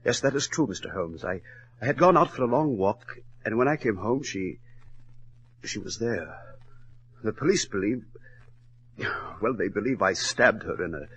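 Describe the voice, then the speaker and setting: Husky, forceful, distressed professor. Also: male voice, on the low side, expressive, muffled recording. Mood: halting, distressed. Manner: halting, agitated, formal. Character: distressed, earnest male professor protesting his innocence. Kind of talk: 1940s radio drama acting.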